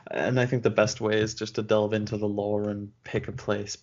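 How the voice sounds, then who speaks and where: A man co-hosting a podcast, giving measured opinions in casual discussion and often laughing. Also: on the low side, little pitch movement, muffled recording. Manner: measured, laughs often, rates things out of ten. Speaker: podcast co-host.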